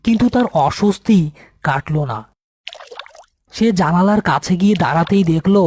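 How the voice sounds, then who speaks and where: A man's voice: fairly high pitch, a little mellow. A man reading a scripted horror story as its narrator.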